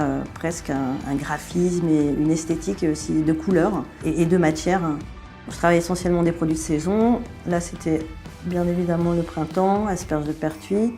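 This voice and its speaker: A middle-aged French-born woman speaking with a Belgian accent, easygoing and full of small hesitations as she talks about her cooking. Her voice is mellow and a touch low, with soft music playing underneath. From France. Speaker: chef. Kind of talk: relaxed interview answers.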